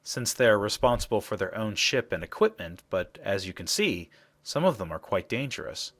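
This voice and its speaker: A man's slightly low, lively voice. He plays an articulate figure in a space adventure, with expressive swings in pitch as he lays things out. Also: moderate pace. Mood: explanatory. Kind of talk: video game voice acting.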